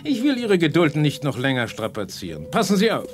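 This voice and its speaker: An actor playing a gloating mad genius villain in a children's sci-fi radio drama, mock-courteous and theatrical. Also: male voice, a little high, wildly swooping pitch, a little fast.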